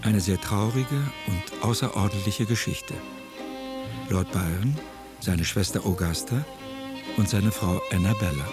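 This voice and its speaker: A man's voice: on the low side, measured pace. A man narrating a scripted radio drama in a sober, factual manner. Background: violin music.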